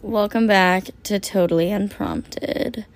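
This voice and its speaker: A young woman in her twenties hosting a casual solo podcast, her voice hoarse and raspy from a long shift, rambling chattily with lots of "like". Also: medium pitch, fairly even intonation, slow pace, soft background music. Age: 20s. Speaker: podcast host.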